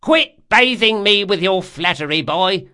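A man voicing a brusque, sharp-tongued old woman, a master artificer in a fantasy audiobook. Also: sits high, animated, unhurried, clean tone, voice pushed up, heavily acted. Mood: brusque, impatient. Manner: brusque, exclamatory, scolding.

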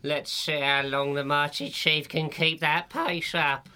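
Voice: mid-range voice, steady tone, a little slow, sharp tone, clear, resonant tone, pitched above the performer's own voice. A narrator voicing a sly weasel crew member who mocks the boss with a sneering, sarcastic manner in a storybook. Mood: mocking.

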